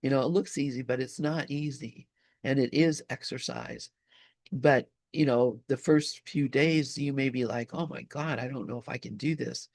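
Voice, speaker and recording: A man leading a spiritual study group in an informal, conversational talk, often halting as he searches for words. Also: medium pitch, steady tone, gravelly, a little mellow, no echo, studio quality.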